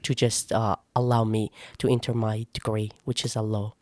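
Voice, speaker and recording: A man's voice: lowish voice, flat delivery, normal speed, a little mellow, dry recording. A nineteen-year-old man from northern Iraq whose first language is Kurdish, answering interview questions hesitantly with many fillers and restarts. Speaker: guest, university student.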